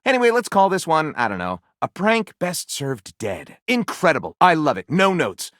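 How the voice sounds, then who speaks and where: A man's voice: mid-range voice, fairly bright tone, no echo, clean studio sound. A man voicing a talking book in a video game, a glib and sardonic literary critic with theatrical enthusiasm, speaking in chatty monologue. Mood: breezy, delighted. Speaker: dateable game character reviewing and titling stories. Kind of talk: video game character voice acting, conversational monologue.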